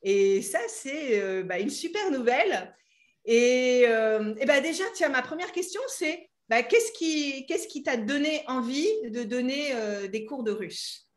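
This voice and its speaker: A woman's voice: high voice, lively intonation, measured pace. A French woman hosting an interview, chatting easily with lots of fillers and laughter. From France. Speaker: host and French teacher. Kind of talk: friendly interview chat.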